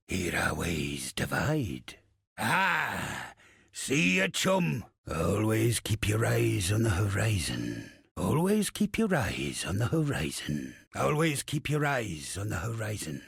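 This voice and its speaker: Cursed old pirate captain, undead, crude and boastful, slow drawn-out sailor talk, heavy gravel, game character. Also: male voice, on the low side, fairly bright tone, dry recording, studio quality, full character voice. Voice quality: gravelly, husky, raspy. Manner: theatrical salty sailor talk, drawn-out phrases. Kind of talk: video game character acting.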